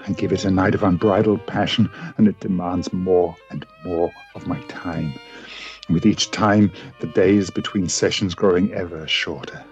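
A man performs a remorseful, brooding gentleman scientist in a radio drama. His voice sits low and his diction is formal, with faint violin music. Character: tormented, remorseful gentleman scientist, Doctor Jekyll. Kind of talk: radio play acting.

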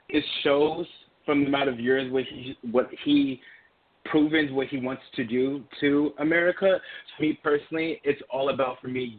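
A man from Trinidad speaking as a guest on an interview show, feeling his way through each answer with fillers and restarts. His voice sits at an everyday middle pitch. The sound is thin and tinny, like a phone line. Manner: halting, many restarts and fillers. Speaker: guest, PSA creative. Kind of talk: casual interview talk.

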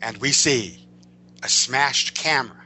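A man speaking as an interview guest, explaining writing craft conversationally in a digressive, emphatic, halting way. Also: mid-range voice, sharp tone, a little hiss or hum. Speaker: interview guest, comic book and screen writer.

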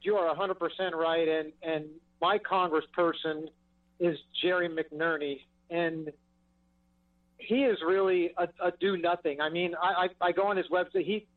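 A man's voice: high voice, fairly even intonation, moderate pace. An older man calling in to a talk show, sounding frustrated and stammering through restarts. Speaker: caller. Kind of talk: phone-in call to a talk show. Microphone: phone. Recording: tinny distant phone line.

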